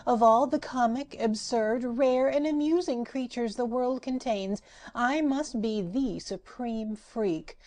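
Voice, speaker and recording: A woman's voice: a little high, takes it slowly, a little mellow, voice pushed up. Woman voicing a giddy, boastful patchwork doll. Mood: gleeful. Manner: sing-song rhymes, gleeful and cheeky.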